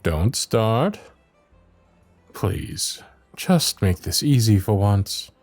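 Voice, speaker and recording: Man acting a loyal, animated fantasy knight. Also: fairly low pitch, very slow, faint music behind, heavily acted. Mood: stern, pleading. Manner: old-fashioned courtly phrasing, teasing and earnest. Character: young loyal royal knight, teasing, exasperated, protective. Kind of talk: ASMR roleplay acting, fantasy audio drama.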